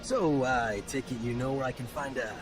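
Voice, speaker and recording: A man's voice: mid-range voice, moderate pace, lightly acted. A man acting as a chatty, slippery merchant in a video game, folksy, effusive and ingratiating. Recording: music behind the voice.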